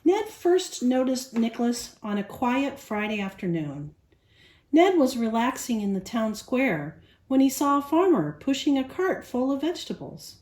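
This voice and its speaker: Animated woman reading to children. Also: fairly high pitch, unhurried. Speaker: children's storyteller.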